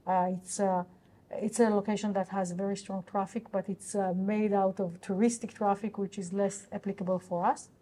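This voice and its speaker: Mellow-voiced woman, halting businesslike delivery. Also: mid-range voice, level tone, a little hiss or hum. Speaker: company executive answering investor questions. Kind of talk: unscripted earnings call answers.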